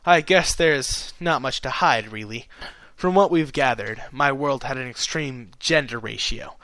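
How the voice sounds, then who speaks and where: Man as a bashful, bright-voiced young stallion. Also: mid-range voice, unhurried, no echo, rough recording. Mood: quiet, resigned. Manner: quiet, muttering, hesitant. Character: bashful, self-deprecating male pony, awkward narrator hero.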